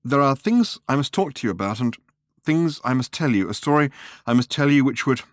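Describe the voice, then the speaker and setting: A man's voice: mid-range voice, animated, fairly quick, no room sound, studio quality, voice pushed up, natural voice. Bumbling, smitten English chap, acted by a male narrator, audiobook comedy, stammering, voice lifted above the reader's own. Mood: earnest, halting. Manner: hesitant, stammering. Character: flustered, smitten, bumbling Englishman, awkwardly eager.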